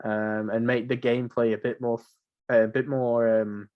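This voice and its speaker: A man's voice: medium pitch, flat delivery, a little slow, phone-quality sound. A seventeen-year-old young man co-hosting a podcast, chatting casually about games in an informal, slangy, jokey way. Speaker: podcast co-host.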